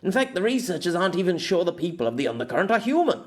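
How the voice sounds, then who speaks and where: A man's voice: sits high, big pitch swings, very fast, pitched above the performer's own voice. A man voicing a weary, sarcastic male writer in an audiobook, dry and exasperated in manner. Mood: reluctant, explaining.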